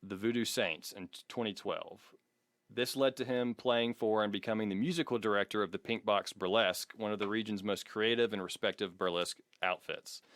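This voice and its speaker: Male podcast host, lower-pitched and dry-sounding room, wry and self-deprecating, jokey dramatic reading and banter. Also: fairly bright tone, dry recording.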